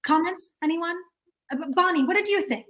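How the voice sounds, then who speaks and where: A woman's voice: extremely high pitch, a little fast, fairly bright tone. A woman acting a prim, officious committee chairwoman with a formal, fussy manner, in an amateur play reading. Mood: businesslike.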